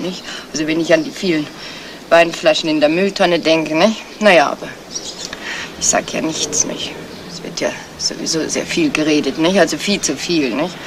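A woman's voice: low voice, lightly acted. Actress as gossipy, insinuating neighbour woman, noisy recording. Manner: chatty, insinuating, tags remarks with "nicht?". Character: gossipy, nosy neighbour woman, feigning discretion. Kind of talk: 1970s German radio crime drama acting. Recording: noisy old radio play, vehicle ambience.

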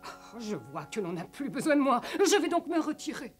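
An actress playing a stiff, prim, easily flustered governess in a French cartoon dub, speaking formally and haltingly. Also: female voice, sits high, sing-song, soft background music. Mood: stiff, offended.

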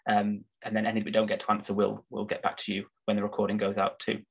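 A man hosting a business webinar, sounding husky from a cold, relaxed with frequent filler words. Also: fairly low pitch, fast talker. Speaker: webinar host. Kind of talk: corporate webinar presentation. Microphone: video call. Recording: slightly dull video call audio.

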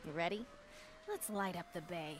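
A woman's voice: lowish voice, moderate pace, background noise, lightly acted. A woman playing a tough, guarded young vigilante in a video game, with a blunt, dry way of talking. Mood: brisk, determined.

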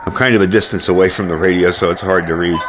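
Man, lowish voice, casual product reviewer, hands-on demo style, informal step-by-step explanations. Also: fairly even intonation, quick pace, noisy background.

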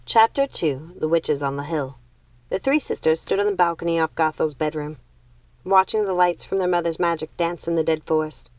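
A woman reading a young adult storybook aloud as an expressive audiobook narrator. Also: low voice, moderate pace, no echo. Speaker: audiobook reader.

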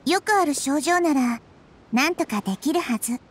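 A woman playing a small, wise girl deity in a Japanese anime game, calm and gentle, speaking in a measured, explanatory way. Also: high voice. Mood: thoughtful, gentle.

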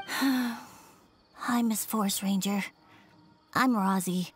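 A woman's voice: mid-range voice, drawn-out words, faint music behind. A woman voicing a shy, polite little boy in a game, who speaks timidly and hesitantly. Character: shy polite little village boy, sad but brave. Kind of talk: game cutscene dialogue.

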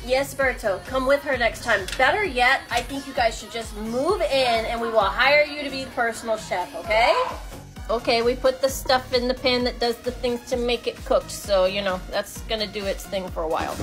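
Woman, 30s, from the American South, teasing and chatty as she films a home kitchen on her phone; high voice, music under it. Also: normal speed, fairly bright tone. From Kentucky and Tennessee. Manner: playful, teasing, talks to viewers. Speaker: vlogger filming the cooking. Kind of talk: casual chatty home vlog. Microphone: phone held at arm's length. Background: music and frying sizzle.

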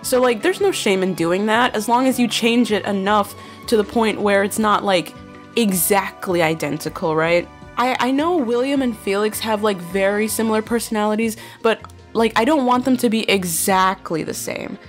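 A woman's voice: medium pitch, moderate pace, faint music behind. A young woman chatting casually while answering fan questions, filling her talk with "like" and "bro", her voice slightly nasal. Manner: chatty, many fillers like "like" and "bro". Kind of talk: casual chat.

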